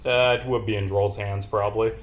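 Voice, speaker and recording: A man running a tabletop game as dungeon master, animated and joking, often correcting himself mid-sentence. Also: on the low side, a little fast.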